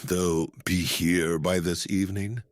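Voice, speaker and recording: A man playing a grave, cautious army general with a husky voice in a fantasy audio drama. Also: low voice, fairly even intonation, unhurried, no echo. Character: grave, wary male army general advising his lord.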